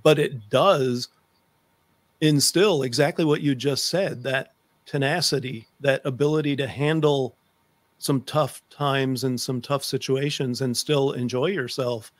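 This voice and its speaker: A man's voice: medium pitch, fairly even intonation, a little slow, no echo. A middle-aged man hosting a conversational interview show, speaking close to a desk microphone. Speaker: talk show host. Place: home room lined with books, indoors. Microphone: close desk microphone on a video call.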